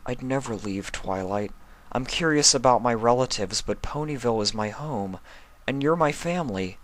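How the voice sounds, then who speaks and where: A man's voice: medium pitch, expressive, moderate pace, a bit bright, pitched above the performer's own voice, close to an everyday voice. A man voicing an earnest, emotional young male dragon turned pony in an acted fan fiction reading. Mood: tender.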